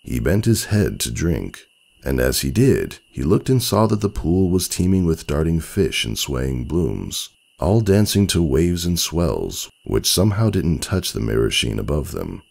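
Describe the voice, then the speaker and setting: Male narrator for a dramatic fable: extremely low, expressive pitch movement, measured and solemn delivery. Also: creaky.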